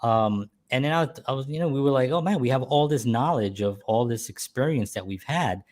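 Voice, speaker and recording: A man's voice: medium pitch, fairly quick, darkish tone. A middle-aged man hosting a show and reminiscing about being a musician in a casual, rambling, jokey way.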